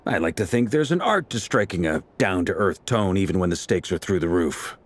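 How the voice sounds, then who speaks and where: An actor playing an affable, wry veteran knight commander in a video game, speaking in a down-to-earth, conversational way. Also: male voice, deep voice, expressive, moderate pace. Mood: playful. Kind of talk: naturalistic video game voice acting.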